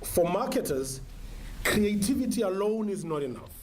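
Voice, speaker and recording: A South African man with a fairly high voice delivers a business presentation in an echoing room. He speaks in measured, emphatic short phrases, with a little noise in the recording. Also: sing-song. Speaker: marketing executive giving a conference presentation. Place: conference room with an audience. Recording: roomy, echoing sound with faint noise.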